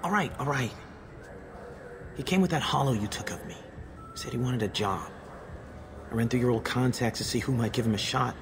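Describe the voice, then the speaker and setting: An actor playing a nervous young petty criminal in a video game, with slangy, ungrammatical street talk. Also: male voice, mid-range voice, moderate pace, pauses often, crowd noise, lightly acted. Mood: scared, reluctant.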